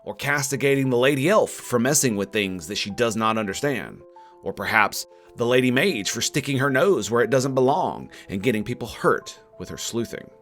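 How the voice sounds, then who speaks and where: A man's voice: mid-range voice, expressive, moderate pace, a bit bright. Sardonic man narrating a critique over music. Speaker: video game reviewer. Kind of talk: scripted video essay narration. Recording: music under the voice. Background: background music.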